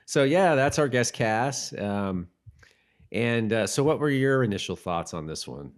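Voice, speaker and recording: A man's voice: mid-range voice, expressive, unhurried. A man co-hosting a casual podcast chat, often trailing off and rephrasing mid-thought. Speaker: podcast co-host.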